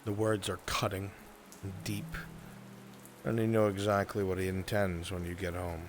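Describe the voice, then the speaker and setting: A man with a fairly low voice narrates a live fantasy roleplay as game master. He improvises scene descriptions over faint rain noise. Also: little pitch movement, normal speed.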